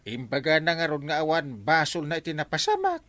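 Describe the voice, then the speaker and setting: Comic village captain, Ilocano accent, exclamatory and whining, swinging pitch, very dry studio sound. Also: male voice, wildly swooping pitch, a little fast, bright, forceful tone, dry recording, full character voice. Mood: explaining. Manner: wailing, exclamatory, theatrical. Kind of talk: comedy radio drama acting.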